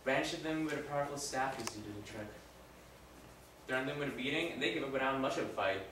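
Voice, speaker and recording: A man playing a repentant crucified robber in a live dramatic monologue, impassioned and moving between hushed confession and raised, forceful speech. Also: mid-range voice, fast talker, natural voice. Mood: confessional, reminiscing. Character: repentant condemned robber crucified beside Jesus, rough-living, remorseful. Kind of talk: live dramatic monologue, first-person storytelling. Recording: very echoey room, distant mic, faint noise.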